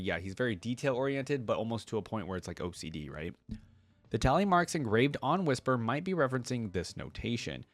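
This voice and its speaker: A man's voice: medium pitch, dry recording. A man hosting a reaction video, reading aloud and breaking off into casual asides and musings. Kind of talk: reading wiki text aloud with casual commentary.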